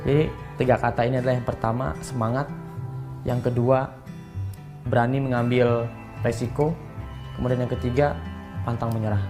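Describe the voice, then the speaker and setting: A man's voice: medium pitch, fairly even intonation, normal speed, long pauses. A twenty-year-old man, explaining in an informal interview style and often tagging phrases with a casual filler. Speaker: young entrepreneur being interviewed. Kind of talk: interview talk, explaining his business. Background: guitar music.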